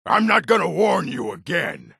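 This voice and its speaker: Gravelly, combative orc. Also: male voice, fairly high pitch, big pitch swings, normal speed, sharp tone, dry recording, studio quality, full character voice. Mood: angry, threatening. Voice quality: gravelly, rough, husky. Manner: blunt, curt, threatening delivery. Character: gruff, combative adult male orc townsman and merchant. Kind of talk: video game character barks.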